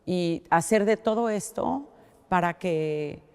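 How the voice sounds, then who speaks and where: Mexican woman, middle-aged, low voice, pauses and restarts a lot, big echoey hall sound. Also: darkish tone, smooth. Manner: thoughtful, with many restarts and fillers. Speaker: art collector. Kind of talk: interview answer. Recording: long hall reverb.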